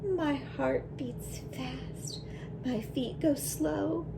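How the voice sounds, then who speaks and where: A woman plays an imaginative, brave little schoolgirl in a read-aloud storybook. The voice is high and slow, with dramatic emphasis, over clear background noise. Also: exaggerated voice. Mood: nervous.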